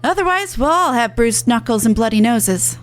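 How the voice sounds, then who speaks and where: Girl doing a blunt soft-hearted young cat lady rebel from a game, high voice, music behind. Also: expressive, normal speed, natural voice. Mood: frank, forgiving. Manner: frank, colloquial. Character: blunt, tender-hearted young catfolk woman, resistance fighter. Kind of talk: video game dialogue read aloud.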